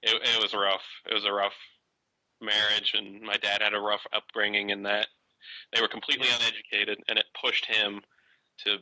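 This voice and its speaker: A man in his thirties calling in, picking his words hesitantly with fillers and restarts. His voice sits low with a forceful edge, recorded dry. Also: level tone, moderate pace, no echo, rough recording. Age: 30s. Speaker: caller. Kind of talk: casual phone-in conversation.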